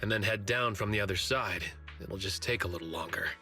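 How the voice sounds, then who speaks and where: A man's voice: fairly low pitch, fairly quick, bright, forceful tone, soft background music. A man voicing a muscular, earnest young teammate in an anime video game, straightforward and matter-of-fact. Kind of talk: English video game voice acting.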